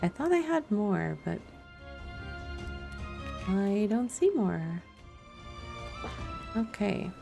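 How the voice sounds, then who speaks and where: A woman's voice: mid-range voice, sing-song, very slow, gravelly, long pauses. A woman game streamer giving casual let's play commentary, thinking aloud to herself. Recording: faint music behind the voice. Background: soft background music.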